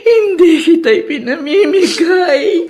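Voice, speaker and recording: A woman's voice: very high-pitched, expressive, a little slow, darkish tone. An actress playing an emotional, anguished mother in a Filipino radio drama. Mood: sorrowful farewell. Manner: dramatic, anguished, breaking into sobs. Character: guilt-ridden ailing mother, emotional and remorseful.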